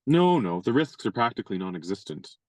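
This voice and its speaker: Male actor as a courtly, wordy young gentleman; radio play, dry close sound. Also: lowish voice, very animated, fairly quick, no room sound. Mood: persuasive. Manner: courtly, elaborate, playfully pompous phrasing. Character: genial young gentleman adventurer, chivalrous and wordy.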